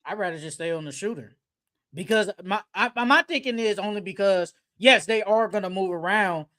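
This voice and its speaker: A sports podcast co-host talks basketball at a medium pitch. The delivery is casual and slangy, with firm, emphatic opinions. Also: normal speed, a bit bright.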